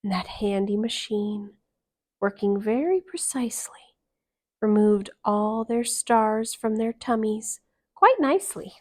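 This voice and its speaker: A woman reading a rhyming bedtime story aloud to children, expressively, with dramatic emphasis and hushed line endings. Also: mid-range voice, expressive, takes it slowly.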